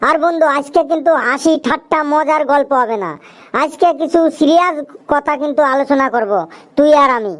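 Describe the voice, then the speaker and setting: A very high, small cartoon voice plays a talkative young friend. The speech is in a homely eastern Bengali dialect. The delivery is earnest and emphatic, like someone lecturing a pal. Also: fairly quick, fairly bright tone. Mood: chatty, friendly. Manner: preachy, emphatic, colloquial. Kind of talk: cartoon dialogue with moral advice.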